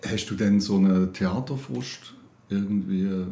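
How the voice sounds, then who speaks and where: Male interviewer, older, low voice, echoey room, conversational. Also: unhurried, gravelly, warm tone.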